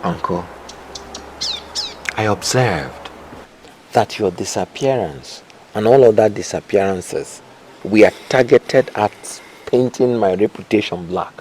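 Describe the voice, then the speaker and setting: A man's voice: fairly low pitch, lively intonation, darkish tone, a little hiss or hum. An actor playing a humble, principled nephew, speaking respectfully and earnestly to his uncle in a film drama. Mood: earnest, explaining. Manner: measured, earnest, deliberate.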